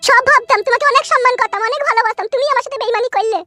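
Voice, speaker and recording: Someone doing a super high shrill angry wife, cartoon, fast. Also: bright, forceful tone, no echo, studio quality, faint music behind, heavily acted. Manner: shrill scolding. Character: scorned young village wife, shrill and vengeful.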